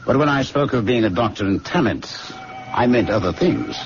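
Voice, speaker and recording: A man's voice: mid-range voice, animated, lightly acted. An actor playing a sly, teasing, confident young medical man who needles and banters, in an old-fashioned radio drama. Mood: needling. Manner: needling, bantering, drawn-out questioning. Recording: dull lo-fi radio recording, music.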